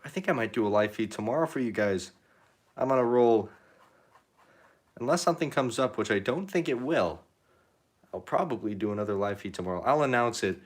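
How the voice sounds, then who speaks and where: A young man chatting casually while painting on a live stream, rambling and self-deprecating, his pitch rising at the ends of phrases. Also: lively intonation, fairly quick, long pauses. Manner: rambling, self-deprecating, reads chat comments aloud. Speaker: painting livestreamer.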